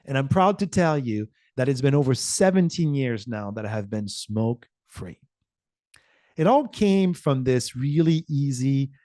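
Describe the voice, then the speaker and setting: A man from France, a communication professor, telling a short inspiring personal story with earnest, emphatic pauses. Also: mid-range voice, dark tone, no echo, studio quality.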